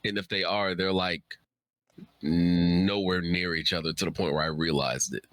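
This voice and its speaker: A man's voice: sits low, steady tone, moderate pace, fairly bright tone. A man in a casual interview chat, answering as a guest in an informal, agreeable way.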